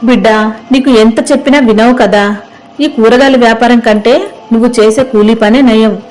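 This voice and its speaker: A woman's voice: fairly high pitch, darkish tone, close to an everyday voice, low-quality recording. A woman narrator playing a kindly, practical village woman who sells vegetables, in a moral story. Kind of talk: acted moral story dialogue.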